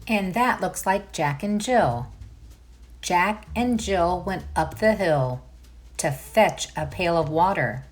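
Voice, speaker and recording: A woman reading a storybook aloud for children, pausing to put questions and asides to the young listeners. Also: fairly low pitch, expressive, soft background music. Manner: unhurried, addresses the listener with questions. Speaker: children's read-aloud host.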